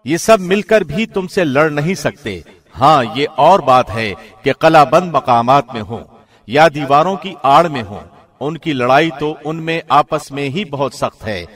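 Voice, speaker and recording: A man reading a scripture translation from a script in the formal, measured manner of a radio presenter. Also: on the high side, animated, fairly bright tone. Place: studio.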